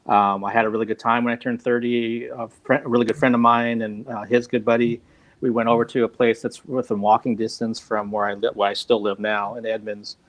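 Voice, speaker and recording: A man's voice: mid-range voice, flat delivery, brisk, rough recording. A man in his fifties chatting casually on a video call, rambling and self-deprecating and laughing often. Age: 50s. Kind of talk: casual chat.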